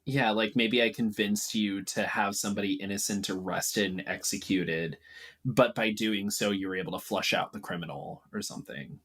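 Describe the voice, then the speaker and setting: A man with a fairly low voice joins a casual podcast brainstorm. He floats suggestions in an informal, slightly tentative way. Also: moderate pace, a bit bright. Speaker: podcast guest.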